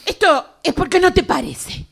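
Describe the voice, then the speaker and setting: A woman acting a dismissive, overwrought woman in a radio play. Her voice is extremely high and swoops around a lot. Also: expressive, normal speed, creaky, fairly bright tone, exaggerated voice. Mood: agitated, dismissive. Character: dismissive, emotional woman walking away from a partner. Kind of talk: radio drama workshop exercise.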